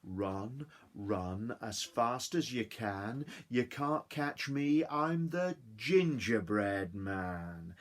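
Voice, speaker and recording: A cheeky storybook gingerbread man chants his taunt in a slow, sing-song voice, as a man puts him on. The pitch swings up and down with each line. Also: lively intonation.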